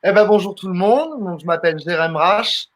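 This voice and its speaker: A young man in his twenties with a somewhat high voice tells his story in a relaxed interview. He is from southern France and talks loosely, full of fillers, restarts and repeated words. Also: normal speed. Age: 20s. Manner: chatty, many fillers and restarts. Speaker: guest comedian. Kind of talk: casual interview answers.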